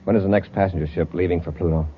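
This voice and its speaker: An actor playing an authoritative, decisive space patrol commander with a clipped, commanding manner in a vintage radio serial. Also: male voice, deep voice, steady tone, rapid-fire, husky. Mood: steady, businesslike. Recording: dull old radio recording, faint hiss.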